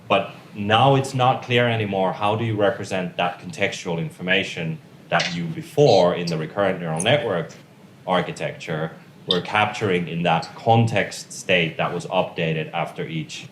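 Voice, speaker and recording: A man whose first language is Finnish, giving a technical tutorial at a conference in an informal, joking way. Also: low-pitched. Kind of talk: technical lecture. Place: conference room. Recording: echoey room with faint noise.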